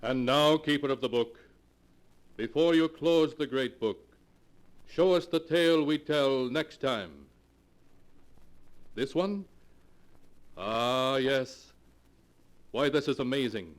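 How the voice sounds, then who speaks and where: A man's voice: medium pitch, takes it slowly, long pauses, close to an everyday voice. Man acting sinister storyteller host, mid-range voice, theatrical, portentous, ominous, vintage radio horror narration. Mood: excited, theatrical. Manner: dramatic, portentous. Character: ominous, theatrical male teller of sinister tales. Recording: vintage 1940s radio broadcast.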